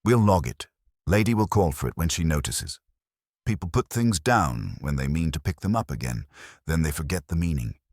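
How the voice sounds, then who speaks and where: A man plays a young village constable in a mystery story, clipped and officious. The voice is notably deep and a little husky, with lively pitch. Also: moderate pace, no echo, studio quality. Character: conscientious, tidy-minded young male police constable.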